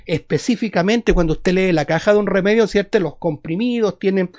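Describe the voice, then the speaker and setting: Male evangelical preacher, Chilean accent, high voice, teaching scripture with emphasis and repetition. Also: brisk, a little mellow. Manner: didactic, emphatic, repeats phrases and asks rhetorical questions. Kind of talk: sermon, Bible teaching.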